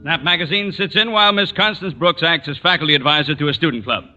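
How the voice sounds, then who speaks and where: A man's voice: on the high side, fast talker, bright, forceful tone. 1940s radio announcer, male, over music. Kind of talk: 1940s radio scripted narration. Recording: dull 1940s radio recording.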